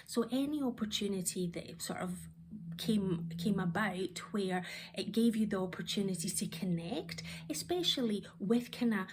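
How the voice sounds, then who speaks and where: Husky Scottish woman in her fifties. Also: medium pitch, darkish tone. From Scotland. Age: 50s. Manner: thoughtful, rambling with frequent ums and restarts. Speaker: vlogger. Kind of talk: reflective personal storytelling.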